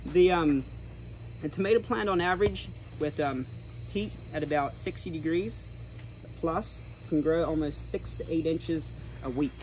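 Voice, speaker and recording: A man's voice: fairly high pitch, measured pace, darkish tone. A young man giving an informal, explanatory tour as a guide, recorded on a handheld camera. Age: young adult. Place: large greenhouses and garden center yard. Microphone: camera or phone a few steps away. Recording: clear background noise, handheld camera video.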